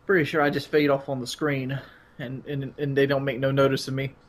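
A man's voice: medium pitch, flat delivery, fairly quick. A man chatting casually and a bit crudely while playing a co-op video game. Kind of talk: casual co-op gameplay chat.

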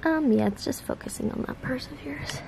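A woman's voice: high voice, fairly quick, gravelly, a crowd in the background. Young woman vlogging with a slight lisp. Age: young adult. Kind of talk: casual vlog narration.

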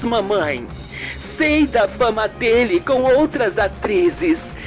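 A woman voicing a young, confident, indignant and proud actress in a radio soap. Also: a little high. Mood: proud, defiant.

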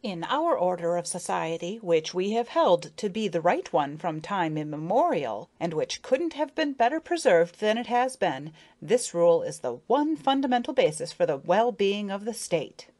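A woman's voice: lowish voice, sing-song, normal speed, hardly any pauses, darkish tone. A woman plays an elderly female bee who teaches the young in a children's storybook. She is strict and fussy, lecturing with exasperated, sighing firmness. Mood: instructive, firm. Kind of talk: children's storybook character reading.

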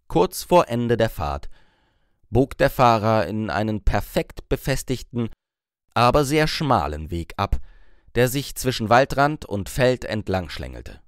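A man reads a comic novel aloud. His voice sits at a medium pitch for a man. The sound is very dry, with scarcely any room on it, and the delivery is that of scripted audiobook narration. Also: no room sound.

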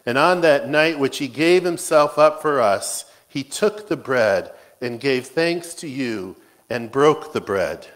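A man serving as pastor, reciting a communion liturgy in a measured, solemn way in a church. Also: mid-range voice, expressive, poor audio. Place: church sanctuary.

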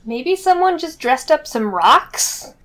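Lively-pitched, wry woman gamer. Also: takes it slowly. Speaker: tabletop game player. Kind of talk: casual game-table banter.

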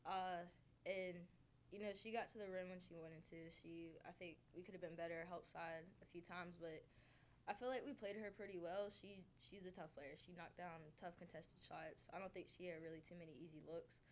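A young adult woman gives post-game answers as a college basketball player. Her voice sits a little low, with faint rumbling noise behind it in an indoor press room. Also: fairly even intonation, moderate pace. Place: indoor press conference room, seated at table.

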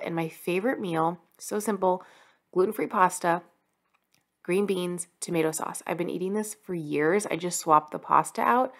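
Chatty, lowish-voiced woman vlogger. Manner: chatty, upbeat, frequent "like" fillers. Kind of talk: casual money-saving cooking vlog voice-over. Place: home kitchen.

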